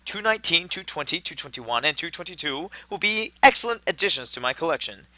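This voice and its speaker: A man's voice: on the high side, expressive, brisk, pushed and bright, no room sound, low-quality recording. A man acting a gleefully menacing mad scientist in a radio drama, theatrical and childishly scolding. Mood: gleefully sinister.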